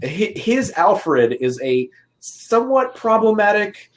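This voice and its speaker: A man chatting informally with wry humorous commentary and a slightly halting delivery. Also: high voice, very animated. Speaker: talk show co-discussant. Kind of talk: casual chat, humorous commentary.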